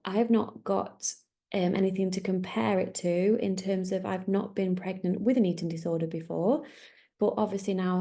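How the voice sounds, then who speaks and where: Podcast host, woman, 30s, mellow and slightly gravelly, rambling solo chat. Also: medium pitch. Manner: chatty, rambling, self-correcting.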